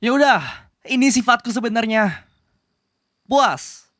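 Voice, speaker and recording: A man's voice: very high-pitched, unhurried, a bit bright, no echo, clean studio sound. Man acting a haughty, flustered young demon prince. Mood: exasperated. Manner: switches between cold menace and flustered casual rambling. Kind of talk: Indonesian ASMR roleplay acting.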